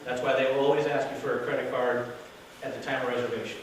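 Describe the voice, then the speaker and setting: A man giving a loud, casual workshop talk to an audience as a hotel sales director, with no microphone. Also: mid-range voice, level tone, fast. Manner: casual, chatty, with fillers. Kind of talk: workshop presentation to a live audience. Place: meeting room with an audience. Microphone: distant camera, no microphone. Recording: very echoey room from far off with noise.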